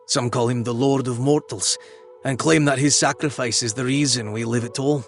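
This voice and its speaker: Male actor as devout wilds clansman, grave, measured, mid-to-low voice, game dialogue, faint music behind. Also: steady tone, natural voice. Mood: solemn. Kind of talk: video game character acting.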